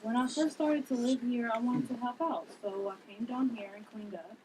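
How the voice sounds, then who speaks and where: A woman's voice: a little high, moderate pace, very noisy, close to an everyday voice. A woman reading an insistent, inquisitive friend at a drama table read. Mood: explaining.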